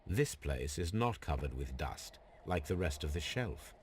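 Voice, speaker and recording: An actor playing a composed Victorian gentleman detective in a video game, with an English accent and a measured, precise delivery. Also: very deep voice, sing-song, exaggerated voice. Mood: musing, observant. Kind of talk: video game dramatic acting. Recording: clear background noise and ambience.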